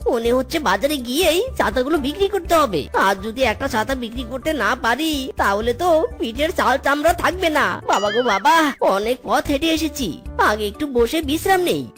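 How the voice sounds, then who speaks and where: A woman's voice: high-pitched, expressive, a little fast, fairly bright tone, faint music behind. A woman voicing a mischievous, lazy young male fox in a children's cartoon, exclamatory and wheedling. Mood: hawking, grumbling.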